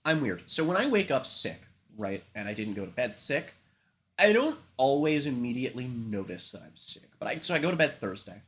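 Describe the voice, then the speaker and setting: A congested, sick man hosts a livestream, his talk loose and rambling, studded with swearing and ums. His voice sits at an ordinary middle pitch, and a cough breaks through now and then. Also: big pitch swings, normal speed, a bit bright. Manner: rambling, frequent swearing and filler words. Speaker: livestream host. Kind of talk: casual chat.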